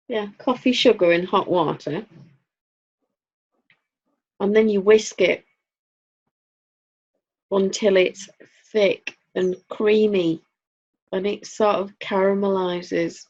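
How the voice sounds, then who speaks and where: A woman's voice: mid-range voice, steady tone, slow, pauses often, a little mellow, breathy edge. A woman with a Northern English accent co-hosting a casual chat over a video call, rambling and dry-humored. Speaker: co-host. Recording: dull lo-fi audio.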